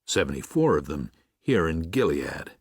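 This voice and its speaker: A man playing a gentle, reflective preacher of seventy-six in a first-person audiobook, with a measured, husky, aged voice. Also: extremely low pitch, big pitch swings, no echo, clean studio sound, exaggerated voice. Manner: measured, tender, musing. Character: gentle, reflective 76-year-old Midwestern preacher writing to his son. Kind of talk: first-person audiobook narration with acted dialogue.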